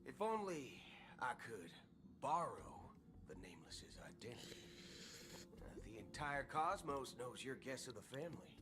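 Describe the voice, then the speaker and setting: A man's voice: mid-range voice, big pitch swings, unhurried. A man playing a swaggering, folksy cowboy gunslinger from space in a video game, with a theatrical American Western drawl. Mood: firm, folksy. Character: swaggering male cowboy galaxy ranger gunslinger, folksy and amiable. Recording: game music behind the voice.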